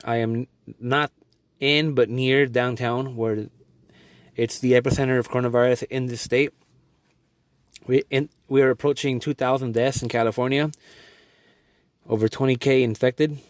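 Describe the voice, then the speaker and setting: A man's voice: medium pitch, steady tone, normal speed, long pauses, no room sound. A young man from Guatemala giving an earnest, rambling video update, often restarting his sentences. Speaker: vlogger.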